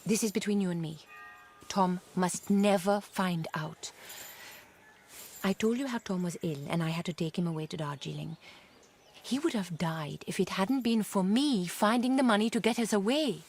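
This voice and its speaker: An actress playing a proud, girlish young Indian wife in a radio drama, with a theatrical, coquettish and excitable manner. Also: medium pitch, normal speed, close to an everyday voice. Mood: eager, confiding.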